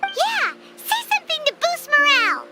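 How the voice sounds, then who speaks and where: A woman voicing a tiny, excitable childlike fairy companion in a video game, with a squeaky voice. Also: normal speed, full character voice. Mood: cheerful, eager. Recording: loud game music behind.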